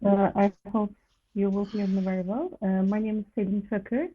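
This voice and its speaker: A woman's voice: mid-range voice, fairly even intonation, normal speed. A young woman introducing herself on a video call, with many hesitations. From Turkey. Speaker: volunteer graphic designer. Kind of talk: online webinar self-introduction. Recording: tinny phone-like call audio.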